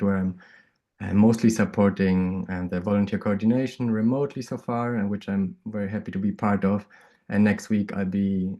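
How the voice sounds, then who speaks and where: A man from Greece who grew up in Germany, introducing himself on a video call in a halting way with many fillers. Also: on the low side, warm tone. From Greece, raised in Germany. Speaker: volunteer coordinator. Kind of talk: casual self-introduction. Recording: dull lo-fi call audio.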